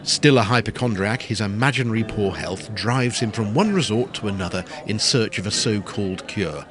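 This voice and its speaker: A man's voice: on the low side, moderate pace, lightly acted. A man narrating a period farce as a wry, descriptive storyteller with a scripted delivery. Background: prominent music.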